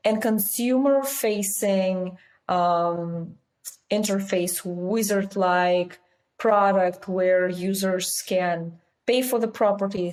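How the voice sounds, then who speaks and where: Russian-accented woman, founder type, explains things confidently, talks in quick bursts. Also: mid-range voice, a little mellow. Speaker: company founder, interview guest. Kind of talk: business interview.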